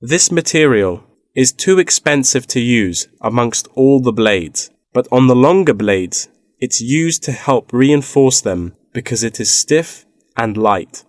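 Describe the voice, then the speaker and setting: An actor plays a knowledgeable man in a scripted listening-test dialogue. His voice sits at a medium pitch, with a measured, informative delivery. The recording is dry, with no room sound. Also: animated, dry recording, lightly acted. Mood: explanatory. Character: knowledgeable man explaining wind farm technology.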